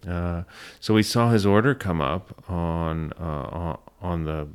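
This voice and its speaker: A man being interviewed as a business owner, telling his story conversationally and often pausing mid-sentence. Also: low-pitched, fairly even intonation, smooth.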